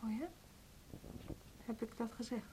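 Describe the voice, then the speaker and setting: An actress playing a troubled, evasive music teacher in a radio play, curt and halting. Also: female voice, a little high, little pitch movement, mellow, natural voice. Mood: uneasy. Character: troubled, evasive woman music teacher with headache, prone to hysterics. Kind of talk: Dutch radio play acting.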